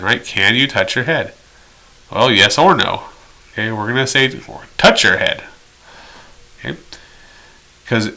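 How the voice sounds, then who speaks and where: A man's voice: mid-range voice, sharp tone, low-quality recording. A man giving an explanatory training lecture as an instructor, direct and instructional with conversational asides. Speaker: instructor in behavior therapy training.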